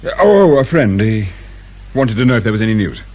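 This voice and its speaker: A man's voice: on the low side, sing-song, fairly quick, a little mellow, lo-fi sound. An actor playing an anxious, impatient, well-off father with a British accent in a radio drama. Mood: subdued, evasive. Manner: impatient, defensive. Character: irritable, anxious, well-off father of a missing boy.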